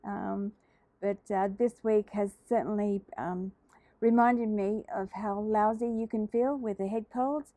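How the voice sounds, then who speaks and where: Middle-aged Australian craft host, mellow and a little throaty from a cold, rambling casually with plenty of ums. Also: female voice, medium pitch, steady tone, a little slow, no room sound. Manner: hesitant, lots of ums and uhs, chatty. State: slightly throaty from a head cold. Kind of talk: casual crafting tutorial chat.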